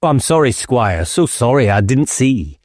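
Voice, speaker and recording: A dogged police inspector from an audiobook, acted by a male narrator, pitched up from the reader's low register. He is blunt and insistent, pressing like a ruthless interrogator, recorded dry and close. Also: big pitch swings, unhurried, a little mellow, no room sound. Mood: apologetic. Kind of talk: audiobook character acting.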